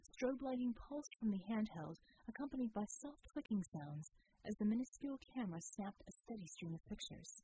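A woman narrating a scripted fiction audiobook in a measured, deliberate storytelling manner. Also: medium pitch, expressive, mellow, husky, poor audio.

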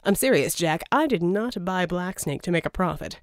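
A woman's voice: on the low side, animated, normal speed, no room sound, clean studio sound, natural voice. A woman voicing a flamboyant, smug, scheming male villain in an audiobook, with a theatrical, wheedling manner. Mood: impatient, scheming. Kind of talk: audiobook character dialogue.

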